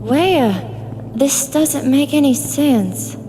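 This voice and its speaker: A scared, bewildered woman speaks slowly in a slightly high, hesitant voice with faint music behind. She is a pleading lost soul in a gothic audio drama. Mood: confused, uneasy. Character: frightened, confused, pleading female lost soul.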